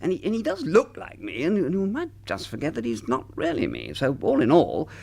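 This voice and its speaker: An actor playing a fussy, slightly pompous British husband in a radio comedy drama, hesitant and prim, often stammering. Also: male voice, a little high, huge pitch swings, brisk, creaky, darkish tone, slightly rough, exaggerated voice. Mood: awkward, sheepish.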